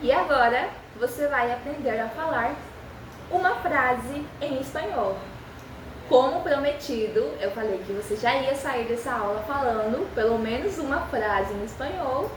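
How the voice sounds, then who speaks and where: A woman's voice: high voice, lively intonation, distant mic, background noise. Young Spanish-speaking woman teaching, echoey room. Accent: Spanish-speaking, speaking Portuguese. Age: young adult. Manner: encouraging, repeats phrases slowly for practice. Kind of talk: beginner language lesson.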